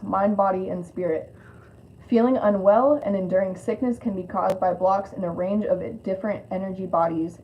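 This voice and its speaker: Lisping woman on a dull, noisy stream. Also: medium pitch. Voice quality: lisp. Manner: informal, rambling asides with swearing. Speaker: spiritual card reader hosting a live stream. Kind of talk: casual live reading mixing read-aloud card text and chat. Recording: dull lo-fi audio with clear noise.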